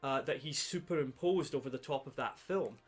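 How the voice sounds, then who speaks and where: A man co-hosting a film podcast in casual chat, halting and rambling, with casual swearing. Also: mid-range voice, breathy edge. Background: background music.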